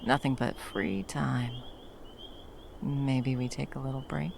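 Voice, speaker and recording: A woman playing a battle-worn monster hunter, gruff but tenderly protective, in an intimate ASMR roleplay with soft teasing and drops into whispers. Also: a bass voice, slow, slight background noise, full character voice. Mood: caring, relaxed.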